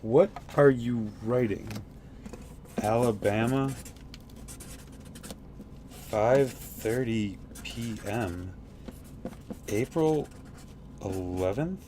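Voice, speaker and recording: A man's voice: medium pitch, drawn-out words, long pauses, dark tone, slight background noise. A man playing an exasperated, skeptical young straight man in a comic radio play. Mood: baffled. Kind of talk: comic radio theatre acting.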